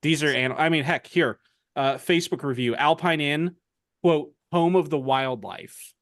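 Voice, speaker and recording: A man's voice: on the high side, measured pace, a bit bright, no room sound, studio quality. A man co-hosting a woodworking podcast, chatting casually and joking dryly about his shop projects. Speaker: podcast co-host. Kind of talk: casual chat.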